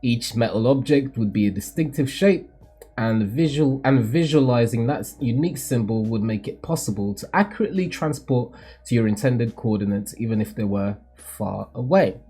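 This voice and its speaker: A man voicing a solemn, cryptic mentor who sees the future, in a theatrical, measured, formal manga read-aloud. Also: medium pitch, lively intonation, warm tone, slightly rough, faint music behind.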